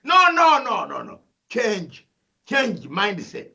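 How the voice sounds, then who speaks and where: A man's voice: high voice, huge pitch swings, takes it slowly, vocal fry, sharp tone. An older man with a Ugandan accent, speaking as an analyst guest in an impassioned, preachy way, firing rhetorical questions and repeating his points. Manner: emphatic, preachy, full of rhetorical questions and repetition. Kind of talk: impassioned interview commentary.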